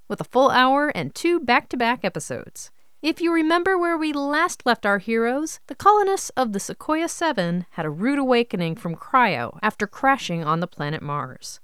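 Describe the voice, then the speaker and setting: A woman's voice: a little high, a bit bright, clean tone, no echo, studio quality. A woman hosting an audio show, reading scripted introductions and announcements in an upbeat way straight to the listeners. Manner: upbeat, addresses listeners directly. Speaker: radio show host.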